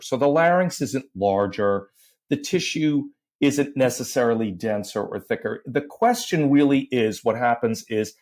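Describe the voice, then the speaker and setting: Male voice teacher, mid-pitched, explains things patiently and demonstrates with sounds. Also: a little mellow. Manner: explains step by step, vocal demos and sound effects. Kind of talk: conversational podcast explanation.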